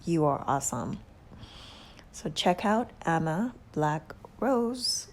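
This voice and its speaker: A woman with a Haitian accent hosting a casual vlog, giving gushing shout-outs with drawn-out emphasis and soft whispery asides. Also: low-pitched, animated, slight background noise. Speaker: beauty channel host.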